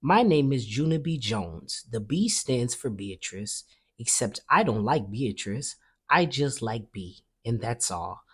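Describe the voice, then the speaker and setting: Woman, children's read-aloud narrator, very low pitch, animated intonation, expressive. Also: takes it slowly, husky. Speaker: storybook reader.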